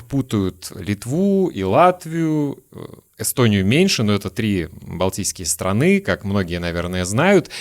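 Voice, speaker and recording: Low-voiced Lithuanian man hosting an interview. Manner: asks long, searching questions and shares his own stories. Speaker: podcast host. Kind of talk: relaxed conversational interview.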